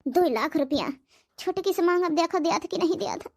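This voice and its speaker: A woman's voice: very high-pitched, animated, fairly quick. A woman with an Awadhi accent playing a worried, devoted elderly village mother-in-law in a cartoon comedy. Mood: fretful. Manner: fretful, pleading.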